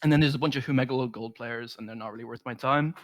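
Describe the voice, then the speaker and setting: A young team captain rattles off cocky insults at his rivals. He talks fast, and the sound is dry and close. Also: medium pitch, steady tone, dry recording. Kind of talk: trash talk.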